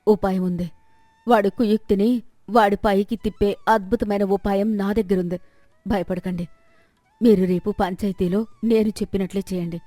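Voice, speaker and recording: A clever village wife in a moral tale, played by a woman, speaks calmly and confidently. Her mid-pitched voice is composed and reassuring, recorded very dry. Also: no echo, close to an everyday voice. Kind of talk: dramatized moral story.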